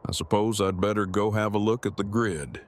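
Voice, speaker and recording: A man playing a formal, wordy, deadpan young father and husband in an audio drama, with precise phrasing. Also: on the low side, brisk, natural voice. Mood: earnest, formal. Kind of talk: fan audio drama acting.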